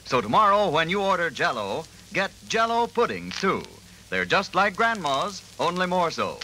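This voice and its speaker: A man's voice: high-pitched, very animated, moderate pace, sharp tone. A man giving an emphatic, polished commercial announcement in 1940s radio style. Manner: emphatic, polished sales delivery. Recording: faintly noisy vintage radio broadcast.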